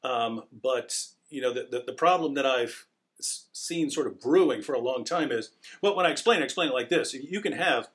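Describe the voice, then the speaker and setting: A man of ordinary pitch hosts an interview, working through a long question. He talks hesitantly, with frequent fillers and restarts as he builds his point. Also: a bit bright. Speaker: interviewer, channel host.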